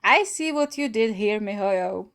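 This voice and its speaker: A woman's voice: medium pitch, lively intonation, unhurried, fairly bright tone, clean tone, soft background music. A woman giving casual reaction commentary as host of a gaming video, thinking aloud hesitantly.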